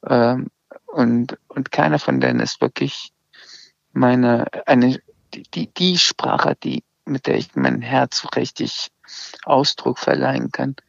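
Slow, gravelly-voiced man. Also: mid-range voice, wildly swooping pitch, no echo. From Iran. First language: Azerbaijani. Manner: hesitant, many restarts and fillers. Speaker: interview guest. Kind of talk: casual conversation. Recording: slightly dull lo-fi sound.

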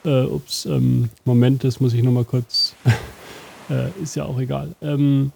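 A man hosting an interactive audio adventure as game master, with a rambling, absent-minded, deadpan delivery. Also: mid-range voice, takes it slowly, gravelly, slight background noise. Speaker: game master and show host.

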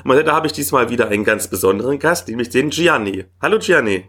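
A man with a husky, breathy voice at an average pitch. As the host, he leads the conversation with friendly, orderly questions and measured phrasing. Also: expressive, fairly bright tone. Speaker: podcast host, interviewer. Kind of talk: podcast interview.

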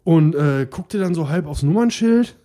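A man's voice: fairly high pitch, lively intonation, a little slow, warm tone. A man from the Ruhr area with a Ruhr German accent, chatting casually and joking with many fillers. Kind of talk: casual chat.

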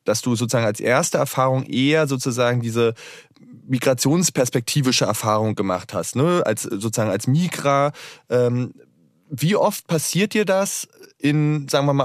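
A man's voice: medium pitch, no room sound, studio quality. A man interviewing a guest, affirming often and asking long, rambling questions with many fillers. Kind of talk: conversational interview.

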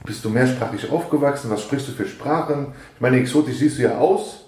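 A man who is a native Persian speaker, co-hosting a podcast casually with teasing, quick interjections. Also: mid-range voice, a little mellow. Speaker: podcast co-host. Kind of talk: casual chat. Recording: roomy echo, faint noise.

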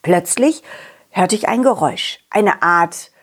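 Woman playing a talking dog, animated, husky edge, unhurried, confident, children's audio story. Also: lowish voice, pitched below the performer's own voice. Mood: curious, narrating. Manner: animated, expressive, addresses children directly. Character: confident, loyal, friendly talking dog telling his adventure. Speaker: puppeteer storyteller.

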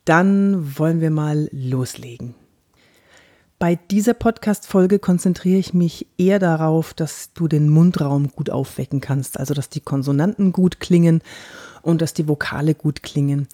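Woman, light Bavarian lilt, warm mellow voice, chatty podcast coach, slows down for exercises. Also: sits low. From Franconia, Germany. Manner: guides exercises slowly and deliberately; chatty and personal. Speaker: actress and body language coach. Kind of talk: podcast voice lesson.

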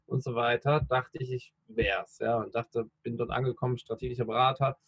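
A man in his thirties speaks as an interview guest, hesitant and reflective, often pausing on fillers. His voice is gravelly and husky, recorded dry and close. The sound is dull and lo-fi. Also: medium pitch, level tone, a bit bright, no echo. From Rhineland, Germany. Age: 30s. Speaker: interview guest, coach and podcaster. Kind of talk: casual interview talk.

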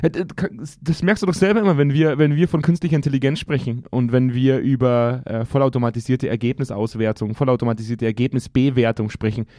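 A hoarse man co-hosts a casual podcast from an office and talks fast. His voice is dark and mellow. The close mic gives a dry sound. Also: medium pitch, fairly even intonation, no room sound. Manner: quick, joking and teasing. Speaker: podcast co-host. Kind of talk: casual joking chat.

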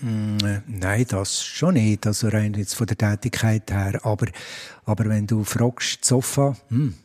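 A middle-aged Swiss German man speaks in a slightly low, mellow voice. He is a stadium announcer answering questions casually. He is chatty and pauses often with fillers. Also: fairly even intonation.

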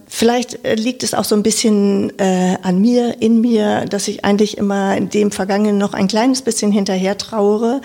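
A middle-aged woman with a northern German accent, her voice gravelly with a husky edge. She talks reflectively in an interview, stopping often for fillers as she searches for her words. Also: medium pitch, darkish tone. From Lower Saxony, northern Germany. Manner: hesitant and reflective, many fillers. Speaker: interviewee, local council member. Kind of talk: casual interview talk.

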